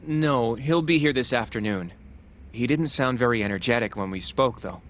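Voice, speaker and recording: A man's voice: mid-range voice, moderate pace, fairly bright tone, slight background noise, lightly acted. A male actor playing an earnest, precise assistant who reports in an orderly, matter-of-fact way in a video game cutscene. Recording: faint music behind the voice. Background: faint background music.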